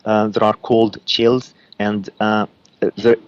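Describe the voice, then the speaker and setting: A man from Lebanon with an Arabic-accented voice, giving psychic readings as a guest and pausing often with fillers. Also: lowish voice, little pitch movement, smooth, dull audio. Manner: halting, many uh and um fillers.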